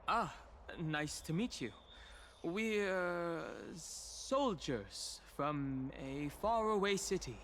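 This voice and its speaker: A man's voice: fairly high pitch, animated, extremely slow, fairly bright tone, a little hiss or hum, exaggerated voice. An actor voicing a young male traveler in a video game, talking in a dry, slightly awkward way. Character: wry young male traveler hiding his origins. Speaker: player character. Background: game music.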